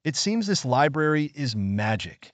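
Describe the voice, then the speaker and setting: A man's voice: medium pitch, sing-song, a little slow, no room sound, voice pushed up. A man voicing an eager, clever young girl in a children's storybook. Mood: eager wonder.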